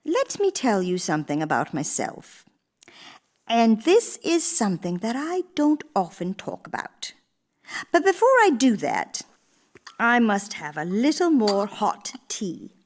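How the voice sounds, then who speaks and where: An actress playing an elderly, gracious former First Lady, speaking in a measured, genteel, reflective way as in a stage monologue. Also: mid-range voice, very animated. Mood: reflective, confiding. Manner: measured, genteel, deliberate storytelling. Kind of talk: theatrical one-woman monologue. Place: live radio theater performance in a church.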